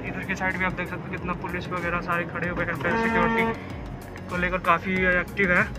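A man's voice: high voice, completely flat delivery, fairly quick, loud music behind the voice. A young man from Bihar, chatting casually as a travel vlogger on a phone held at arm's length, repeating himself and mixing in English words. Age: young adult. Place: outdoors in the city, then inside a moving car. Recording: city traffic noise behind.

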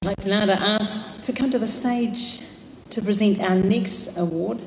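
A woman's voice: medium pitch, moderate pace. Woman presenting an award, hall reverb. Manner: formal, building to an excited announcement. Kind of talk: reading an award introduction. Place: stage of a large hall. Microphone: lectern microphone. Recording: hall reverb with faint room noise.

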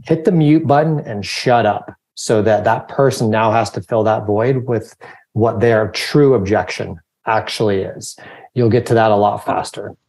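A man in his thirties giving relaxed, conversational sales advice on a video call from a home office. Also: on the low side, unhurried. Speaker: company founder and guest speaker. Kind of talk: webinar advice.

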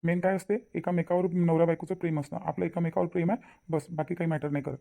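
A man plays a devoted young cartoon fiance with a very mellow, dark voice and a Varhadi Marathi accent. He talks quickly and colloquially, repeating himself for emphasis as he reassures and insists. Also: on the high side, little pitch movement, vocal fry. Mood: persuasive. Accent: Varhadi Marathi from Vidarbha. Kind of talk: cartoon family drama dialogue.

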